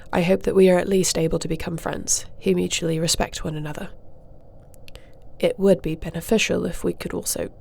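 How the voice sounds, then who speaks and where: A woman playing a formal, dutiful dragon-shifter princess in an audio roleplay, speaking in a measured, regal and diplomatic manner. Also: fairly low pitch, creaky, darkish tone. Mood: earnest, resolved. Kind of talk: scripted audio roleplay acting.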